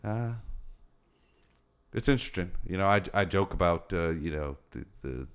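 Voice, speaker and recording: A man's voice: deep voice, moderate pace, clear, resonant tone. A man from Detroit, a comedian guest, chatting casually on a podcast and telling stories with laughs. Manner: animated storyteller, laughs while telling jokes.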